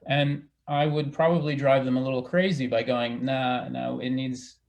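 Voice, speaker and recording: A man speaking as a theater director in a casual panel discussion, haltingly, thinking aloud as he goes. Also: mid-range voice, little pitch movement, gravelly, muffled recording.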